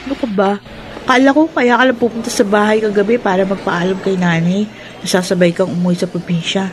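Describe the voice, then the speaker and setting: A woman's voice: mid-range voice, fairly even intonation, fast talker, slight background noise, lightly acted. A woman playing a young woman unsure about a suitor, in a radio drama. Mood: uncertain.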